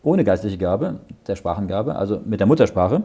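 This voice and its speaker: A man's voice: on the low side, big pitch swings, moderate pace, dark tone, slightly rough. A man leading a Bible study. He reads verses aloud, explains them in plain words and often checks with "ja?". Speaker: Bible teacher.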